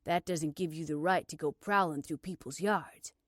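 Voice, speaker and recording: A stern, unmoved male cop as a female audiobook reader plays him speaks in firm, curt phrases. He sounds gruff and dismissive, in a dry, close recording. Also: sits low, normal speed, dry recording. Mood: skeptical.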